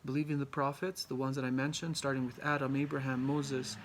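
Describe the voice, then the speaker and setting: A young man from Albania giving a class presentation, halting often with ums and restarts. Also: mid-range voice, flat delivery, background noise. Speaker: student presenter.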